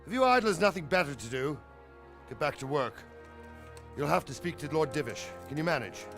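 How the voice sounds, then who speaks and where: An actor playing a gruff medieval castle captain in a video game, blunt and authoritative yet kindly. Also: male voice, a little high, expressive, a little fast, fairly bright tone. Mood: brusque. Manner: blunt, authoritative, ordering people about. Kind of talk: video game cutscene acting. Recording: dramatic music behind the voice.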